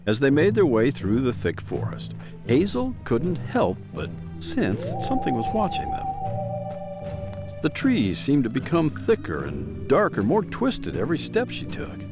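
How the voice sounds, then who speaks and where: A man's voice: on the high side, huge pitch swings, measured pace, over music. A man narrating a scripted story in a theatrical, suspenseful storyteller's manner.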